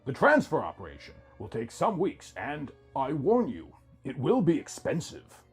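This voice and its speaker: Man playing thin grey creature doctor, cold, clinical, husky, storybook dialogue over music. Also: fairly high pitch, moderate pace, full character voice. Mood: detached, businesslike. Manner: flat, clinical, impersonal. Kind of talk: storybook character dialogue within narration.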